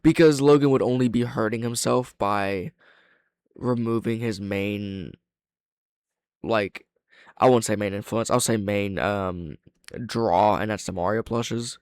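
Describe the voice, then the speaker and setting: A man's voice: lowish voice, measured pace, clear, resonant tone, dry recording, clean studio sound. Blunt man giving casual reaction commentary. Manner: blunt, opinionated, heavy swearing. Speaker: reaction host and video reviewer.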